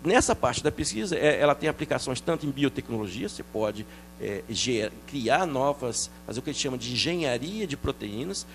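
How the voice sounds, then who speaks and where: A middle-aged man from France, a researcher explaining his work in a TV interview, quick and animated, with many restarts and fillers. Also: medium pitch, creaky, faint music behind. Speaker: researcher guest.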